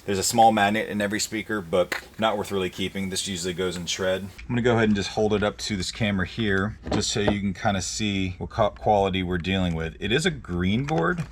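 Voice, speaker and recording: Low-voiced man, casual teardown host. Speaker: host of an e-waste teardown video. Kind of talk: casual hands-on demonstration talk to camera. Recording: clear background noise with handling clicks.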